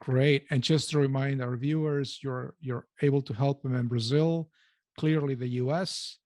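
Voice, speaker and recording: A man's voice: medium pitch, steady tone, moderate pace, darkish tone, no room sound. Jokey male podcast co-host, dry sound. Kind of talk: casual podcast chat.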